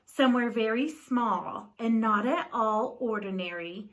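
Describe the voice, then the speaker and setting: A woman reading a picture book aloud to children in a deliberate storytelling style, pausing to put questions to her young listeners. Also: on the high side, a little hiss or hum.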